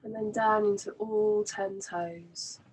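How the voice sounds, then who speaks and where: A woman leading a guided relaxation as a meditation teacher, soothing and gently instructing in an unhurried way. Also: mid-range voice, fairly even intonation, slight background noise, poor audio.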